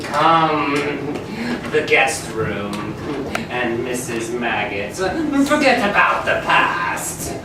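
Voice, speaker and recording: A man's voice: mid-range voice, sing-song. Man playing a scheming butler, swooping theatrically. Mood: stern. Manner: theatrical, drawn-out, commanding. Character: bossy, scheming, menacing male butler servant. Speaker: actor in a staged reading. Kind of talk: campy live melodrama stage acting. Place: live venue with audience. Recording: very echoey hall, distant mic, loud noise.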